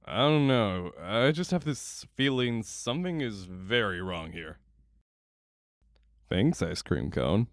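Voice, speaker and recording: A man's voice: on the low side, lively intonation, slow pace, dry recording, studio quality. A man voicing a wary young male student with a wry, uneasy manner in a fan audio drama.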